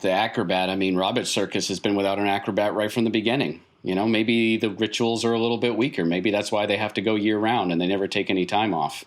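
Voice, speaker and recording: A man acting as game master, improvising explanations in a tabletop story game with emphatic, repeated phrasing. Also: lowish voice.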